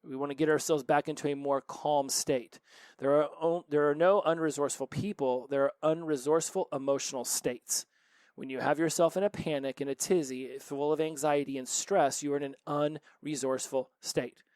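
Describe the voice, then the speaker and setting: A man's voice: fairly high pitch, steady tone, normal speed, a little mellow, no echo. A man in his forties hosting a recovery podcast, giving an earnest solo motivational talk full of rhetorical questions. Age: 40s.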